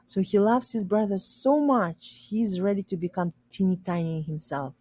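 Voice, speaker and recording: A woman reading a children's story aloud and pausing to explain words simply for learners. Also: mid-range voice, dark tone, breathy edge.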